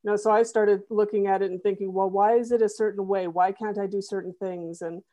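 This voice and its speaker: A middle-aged woman talking through her web development work in a relaxed interview, with plenty of restarts and fillers. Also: medium pitch, fairly quick. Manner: thoughtful, many fillers and restarts. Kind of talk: conversational interview.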